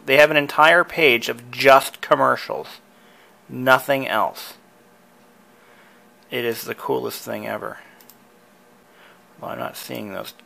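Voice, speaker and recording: A man's voice: mid-range voice, slow pace, gravelly, long pauses, pushed and bright. A man presenting a casual hobby tutorial in a conversational, enthusiastic way.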